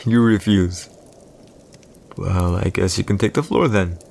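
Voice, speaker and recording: Man acting a deep, slow, haughty villain emperor. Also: steady tone, creaky, a little mellow, heavily acted. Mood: menacing, amused. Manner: formal, archaic, measured, condescending diction. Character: haughty villain emperor, commanding, teasing new husband. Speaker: voice actor in audio roleplay. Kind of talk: ASMR boyfriend audio roleplay acting.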